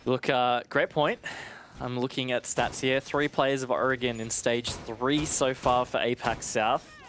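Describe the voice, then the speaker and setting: Mid-pitched male esports analyst on air. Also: fairly even intonation, unhurried, a bit bright, a little hiss or hum. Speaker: esports match commentator. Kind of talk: analytical live commentary, conversational.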